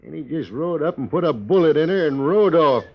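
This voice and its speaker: An actor playing an angry, accusing wounded stagecoach driver in a 1950s radio western, blunt and insistent in folksy speech. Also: male voice, on the high side, lively intonation, a little fast, vocal fry. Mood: bitter, accusing. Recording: dull, noisy old radio recording.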